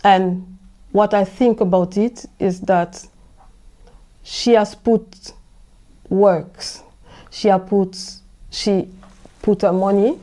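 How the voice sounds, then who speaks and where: A woman's voice: mid-range voice, fairly even intonation, slow pace, long pauses, dark tone. A woman from France with a French accent, chatting as a podcast guest in a hesitant, restarting way. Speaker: guest, host's wife. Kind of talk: casual chat.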